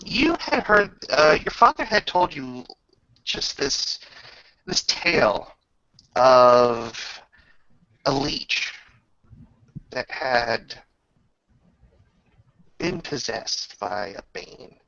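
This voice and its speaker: Gravelly, slow-talking man running a game. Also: medium pitch, sing-song, pushed and bright. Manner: halting, pausing mid-sentence. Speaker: game master. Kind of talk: narrating a tabletop roleplaying game. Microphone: online voice chat. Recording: dull lo-fi voice chat.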